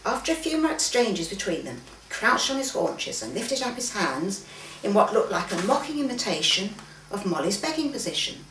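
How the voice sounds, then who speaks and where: A woman reads a story aloud with lively, varied intonation, acting out the dialogue as she goes. She is recorded at some distance in a roomy space, with faint noise behind her. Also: mid-range voice, moderate pace. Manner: acts out the dialogue, with occasional stumbles. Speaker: author reading own fiction aloud. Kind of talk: expressive story reading from a manuscript. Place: room in a private home, live reading event.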